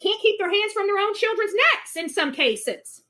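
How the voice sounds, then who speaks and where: High-pitched, blunt woman commentator. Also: animated, normal speed, sharp tone. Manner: emphatic, repeats words for stress; blunt, indignant. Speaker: online commentator on a missing-person case. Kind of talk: casual opinionated commentary.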